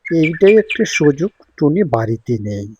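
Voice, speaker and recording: A voice actor playing a scheming male crow thief who talks in a low, conspiratorial way. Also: sing-song, moderate pace, darkish tone, lightly acted. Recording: noisy recording.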